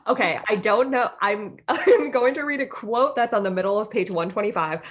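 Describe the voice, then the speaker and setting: A woman's voice: medium pitch, moderate pace, muffled recording. A young American woman chatting casually and informally as a podcast co-host, with a giggly, sweary way of talking. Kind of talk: casual chat.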